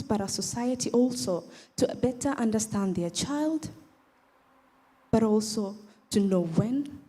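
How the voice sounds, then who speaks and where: A woman's voice: mid-range voice, warm tone, husky. A young woman from Tanzania with a Tanzanian accent, giving a prepared advocacy speech on stage as a pageant contestant in an earnest, emphatic manner. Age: young adult. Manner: earnest, emphatic, deliberate phrasing with rhetorical repetition.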